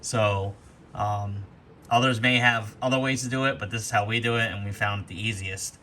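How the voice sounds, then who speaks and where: Bright, forceful-voiced man demonstrating gear. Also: lowish voice, fairly even intonation, normal speed, slight background noise. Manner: explanatory, step-by-step instruction. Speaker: host of a gear demonstration video. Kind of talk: unscripted product walkthrough.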